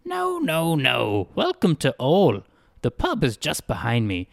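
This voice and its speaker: A man's voice: a little high, wildly swooping pitch, measured pace, vocal fry, no room sound, clean studio sound, pitched above the performer's own voice, exaggerated voice. A man voicing an odd, neckless male town greeter who is relentlessly cheerful and speaks in a sing-song, overly polite way in a storybook reading. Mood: eerily cheerful.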